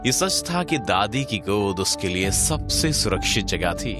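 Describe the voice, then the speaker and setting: Male narrator, lowish pitch, very unhurried, dramatic, suspenseful scripted storytelling, heavy music bed. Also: big pitch swings.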